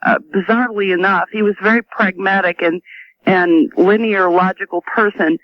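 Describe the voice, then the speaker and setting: A woman's voice: medium pitch, dry recording. A woman calling in to a radio show, chatting in a gushing, hesitant way. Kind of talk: casual chat. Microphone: phone call to radio show. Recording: dull lo-fi caller audio on radio.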